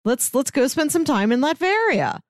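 A woman's voice: high-pitched, very animated, normal speed, dry recording, clean studio sound. A woman co-hosting a podcast, talking in a casual, conversational way. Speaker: podcast co-host. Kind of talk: casual chat.

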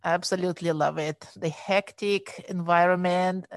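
A woman's voice: on the low side, fairly even intonation, normal speed, no echo. Ukrainian-accented woman telling her story. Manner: earnest, reflective, non-native phrasing. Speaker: emergency physician. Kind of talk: personal story on a podcast.

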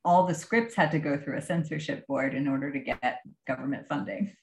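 A woman filmmaker and artist answering interview questions in a measured, precise way, breaking into soft laughs. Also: sits low, roomy sound, slight background noise. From diaspora of Afghanistan, grew up abroad.

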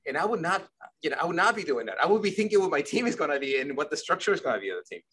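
Bright-voiced man from Ecuador, rambling. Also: on the high side, big pitch swings, racing, breathy edge. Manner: rambling, repeats words, asks "you see what I mean?". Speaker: guest, company leader. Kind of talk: conversational interview answers.

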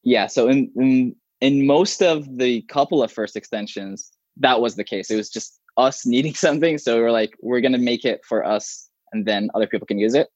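A man whose first language is Arabic, a software developer talking casually in an interview, with many restarts and fillers. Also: medium pitch, normal speed, hardly any pauses, no echo, highs cut off. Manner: rambling, frequent restarts and fillers.

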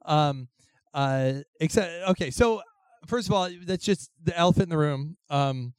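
Hoarse young man joking with a crowd. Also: fairly high pitch, normal speed, no room sound. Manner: rambling and playful, many fillers and restarts. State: hoarse from losing his voice. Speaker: co-host of a live panel show. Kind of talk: casual comedic banter with a live audience.

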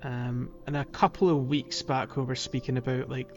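A man's voice: medium pitch, flat delivery, normal speed. A man co-hosting a podcast, talking casually as he guides listeners through a video game. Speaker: podcast co-host. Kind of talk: casual chat, guiding a game playthrough. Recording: slightly dull lo-fi sound, music behind. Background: background music.

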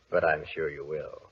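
A man's voice: extremely low pitch, husky, heavily acted. An actor playing a menacing, courtly nobleman villain with a silky, mock-tender manner in an old-time radio drama. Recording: muffled old radio broadcast recording.

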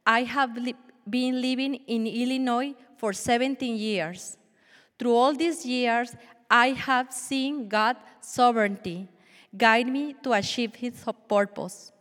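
Woman, forties, Colombian accent, Spanish native, earnest church testimony, fairly high voice, long reverb. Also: fairly even intonation, fairly bright tone. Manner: earnest, measured, with small restarts. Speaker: church speaker sharing testimony. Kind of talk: prepared personal testimony.

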